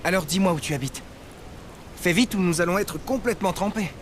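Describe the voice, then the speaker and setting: An actor playing a gentle, teasing teenage noble boy in an animated romance dub, speaking softly and attentively. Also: high voice, fairly quick, a little hiss or hum, lightly acted. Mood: concerned, urging.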